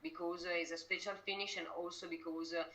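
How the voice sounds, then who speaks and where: A woman with an Italian accent answering interview questions conversationally, haltingly searching for words as a non-native speaker. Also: lowish voice, little pitch movement, fairly bright tone, low-quality recording. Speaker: company representative being interviewed.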